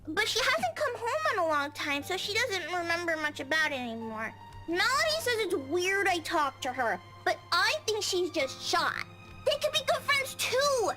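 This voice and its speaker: A woman's voice: very high voice, sing-song, normal speed, music in the background. A woman voicing a chatty, earnest little schoolgirl in a visual novel game. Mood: chatty, hopeful.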